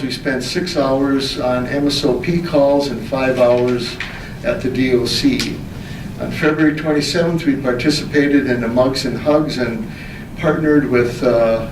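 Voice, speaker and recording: A man chairing a city council meeting as mayor, formally reading reports aloud and moving through the agenda. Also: medium pitch. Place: indoor council meeting room. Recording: echoey meeting room, distant mic, background noise.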